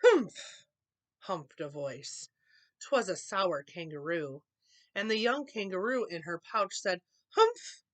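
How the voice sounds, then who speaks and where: A woman's voice: lowish voice, a little slow, fairly bright tone, dry recording. A woman storyteller reading a children's picture book aloud expressively.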